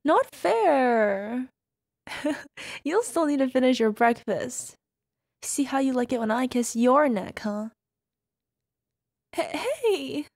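Slow, creaky-voiced teasing wife, acted by a woman. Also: high-pitched, very animated, dry recording, studio quality, full character voice. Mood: mock-indignant, teasing.

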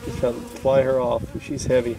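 A man quietly narrating to himself while filming beehives outdoors on a handheld camera. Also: medium pitch, level tone, fairly quick, noisy background. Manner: soft-spoken, musing aloud to himself. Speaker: beekeeper vlogger. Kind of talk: casual running commentary while filming. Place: outdoors at a bee farm. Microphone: handheld camera mic. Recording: outdoor camera audio with insects buzzing. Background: bees buzzing and rustling grass.

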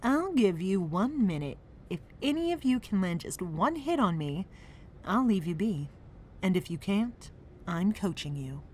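A woman's voice: mid-range voice, very animated, a little slow, darkish tone, a little hiss or hum. A woman playing a confident, challenging mentor in a superhero comic dub, with music underneath. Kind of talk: acted comic dub dialogue.

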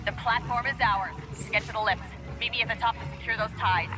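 Loud music surrounds a high-voiced, steely female imperial commander acted by a woman in a military video game. She gives orders in a clipped, resolute manner, with full authority. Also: steady tone, brisk, lightly acted. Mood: firm, commanding. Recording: game audio under loud orchestral music.

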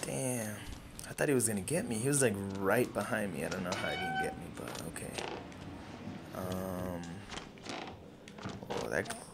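A man's voice: on the low side, expressive, moderate pace, vocal fry, long pauses, loud music behind the voice. A young American man giving casual, profane gameplay commentary in slangy reactions. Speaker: gaming streamer. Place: small room indoors. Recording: loud game audio behind voice.

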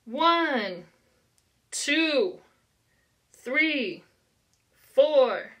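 Slow, bright American woman teaching preschoolers. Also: sits high, lively intonation. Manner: simple, encouraging, instructional, with deliberate enunciation. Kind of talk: read-aloud counting lesson for young children.

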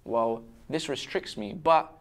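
A man's voice: mid-range voice, slow. Earnest young man raised in Syria and Britain. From raised in Syria until twelve, then the UK. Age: young adult. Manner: earnest, self-questioning, frequent rhetorical questions. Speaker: panel discussion participant. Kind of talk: casual round-table discussion, personal reflection. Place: indoor restaurant-style set with brick walls, seated at table. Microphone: lapel mic.

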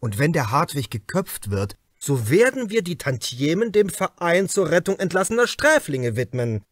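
A man reading an audiobook as an excitable, greedy theatre director who exclaims and repeats himself. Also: fairly high pitch, moderate pace, no room sound, clean studio sound. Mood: gleeful, calculating.

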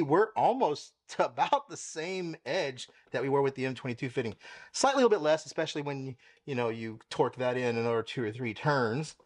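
A young man hosting a car-care channel, casually explaining as he works with his hands in a home garage, talking to a camera mic while he moves around. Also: medium pitch. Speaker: car detailing channel host. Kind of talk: casual product demo. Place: home garage with tiled floor.

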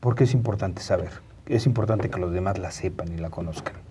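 A man in his fifties with a Mexican accent and a husky voice, explaining things as a guest in a studio interview. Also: sits low, fairly quick, warm tone, slight background noise. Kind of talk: explanatory panel interview talk. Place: TV studio, indoors, round table. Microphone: lapel mic.

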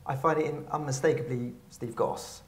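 A man's voice: fairly high pitch, steady tone, moderate pace. A man in his thirties asking informal interview questions in a tentative, searching way. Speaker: interviewer, guitarist. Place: small hall, on a stage at a table.

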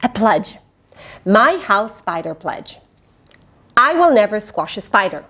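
A middle-aged woman reading aloud to young children, expressive and animated. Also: medium pitch, slow pace. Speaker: children's storyteller. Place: small fabric play tent indoors.

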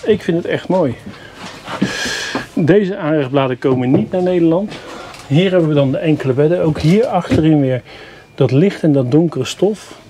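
A man's voice: on the high side, lively intonation, normal speed, dark tone. A man casually walking through a motorhome, chatty and enthusiastic with mild grumbling. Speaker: vlogger reviewing campers. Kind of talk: casual walkthrough vlog. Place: inside a motorhome at a product show. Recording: noisy camera audio.

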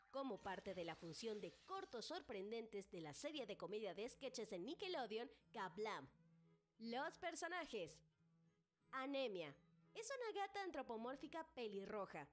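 Female reviewer-narrator, scripted explanatory read with music underneath. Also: fairly high pitch.

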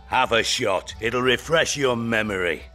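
A boozy, boisterous soldier, in a fantasy game. He is crude and rowdy, with a sharp mid-pitched voice and faint music behind. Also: measured pace. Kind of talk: video game voice acting.

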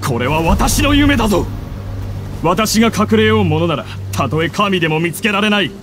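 A man's voice: sits high, expressive, normal speed, background noise. A man voicing a proud, grieving scholar in an anime game, with bitter, theatrical delivery. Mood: defiant. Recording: music under the voice.

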